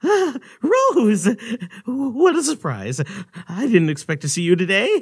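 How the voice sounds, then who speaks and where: A man's voice: high voice, wildly swooping pitch, takes it slowly, a bit bright, husky, no echo, studio quality, exaggerated voice. A man voicing a shy, nervous young male hedgehog fanboy in a comic dub, with a jittery, flustered manner.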